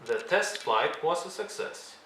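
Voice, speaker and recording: A man's voice: on the high side, very animated, bright, forceful tone. Man reading a children's story, roomy sound. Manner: deliberate, dramatic emphasis. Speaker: storyteller reading a picture book aloud. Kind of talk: expressive read-along narration for young children. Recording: roomy echo, faint background noise.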